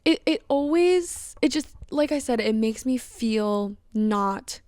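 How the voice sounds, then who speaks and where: A woman's voice: on the high side, lively intonation, takes it slowly, a little mellow, dry recording, studio quality. A young woman hosting a podcast alone, talking candidly and reflectively about her own life, with frequent fillers and restarts. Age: young adult. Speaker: podcast host. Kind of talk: casual solo podcast monologue.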